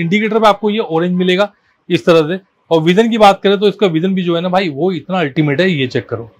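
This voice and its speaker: A man speaks. He delivers an informal product demonstration as a shop seller. His pitch to viewers is emphatic and repetitive, addressing them familiarly like brothers. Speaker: car accessory shop seller presenting products.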